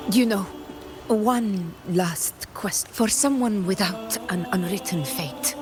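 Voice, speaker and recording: A woman's voice: mid-range voice, takes it slowly, over music, natural voice. An actress playing a resolute, stately woman warrior in a video game. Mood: pensive. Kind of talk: scripted video game voice acting.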